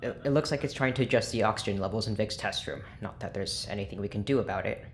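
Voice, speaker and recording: A man's voice: lowish voice, fast talker, slight background noise, lightly acted. A man playing a curt, sardonic, impatient scientist in a sci-fi audio drama, with dry condescension. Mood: dry irritation.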